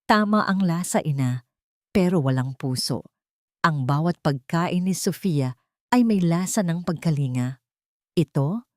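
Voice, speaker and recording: A bitter, wealthy man in an audio drama, acted out by a female storyteller. He is stern and heartbroken, with a hard, curt delivery. The voice sits low in a dry recording. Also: animated, a little slow, pauses often, dry recording.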